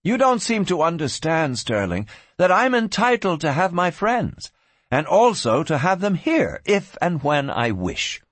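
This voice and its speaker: A man's voice: on the high side, very animated, measured pace, a bit bright, dry recording, pitched above the performer's own voice, full character voice. A man voicing a strong-minded, defiant young woman in a Western storybook. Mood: indignant. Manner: firm, indignant, cautioning. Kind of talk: dramatised audiobook reading.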